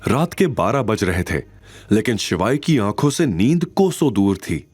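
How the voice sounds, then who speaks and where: A man's voice: on the low side, big pitch swings, measured pace, a little mellow, husky, no echo. A man reading scripted dramatic narration as the narrator of an audio story. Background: background music.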